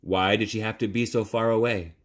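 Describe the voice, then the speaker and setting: A man reading a children's story aloud in a measured, dramatic, expressive narration. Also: lowish voice.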